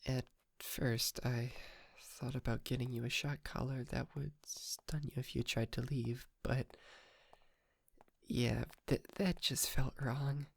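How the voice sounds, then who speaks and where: A man's voice: mid-range voice, level tone, slow, vocal fry, no echo. A man playing a shy, polite, clingy yandere kidnapper in an intimate ASMR roleplay, stammering and apologetic, close to the mic. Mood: sweetly possessive. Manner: hesitant, stammering, nervous giggles, apologetic. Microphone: close microphone, dry.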